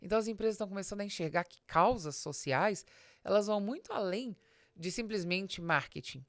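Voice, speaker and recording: A woman's voice: medium pitch, lively intonation, a little fast, no echo. A Brazilian woman hosting a podcast, giving informal business advice in a didactic, emphatic way, speaking straight to the listener. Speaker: podcast host and business strategist.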